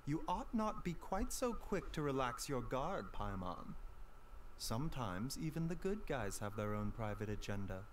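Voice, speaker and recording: Casting: sly, gentle young physician in a game, unhurried, formal, very expressive pitch. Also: male voice, a little hiss or hum. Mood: sly, composed. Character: gentle, secretive young male doctor, courteous and sly. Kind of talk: video game voice acting.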